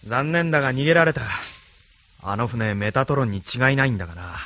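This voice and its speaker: An actor playing a weary military pilot in a Japanese anime audio drama. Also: male voice, medium pitch, animated, a little fast, bright, forceful tone. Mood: tired, cool. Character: weary male military mobile-weapon pilot. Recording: faint noise, ambience behind.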